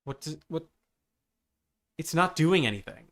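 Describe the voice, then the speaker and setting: A man's voice: fairly high pitch, lively intonation, unhurried, a bit bright. A man doing casual live game-stream commentary, muttering to himself and repeating his phrases. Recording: noticeable background noise.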